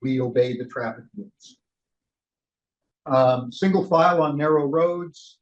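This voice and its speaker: An older man leading a casual cycling training session, speaking loudly and chatting with the group. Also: on the high side, unhurried. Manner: loud, chatty, laughs between points. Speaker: ride leader trainer. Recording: roomy, dull lo-fi room recording.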